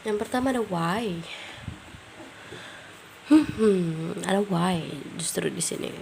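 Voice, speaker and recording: A woman's voice: mid-range voice, slow, creaky, background noise. A woman with a stuffy, nasal voice from a cold, doing a casual tarot reading, thinking aloud and tossing in English words. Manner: musing, thinking aloud with frequent fillers. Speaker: tarot reader.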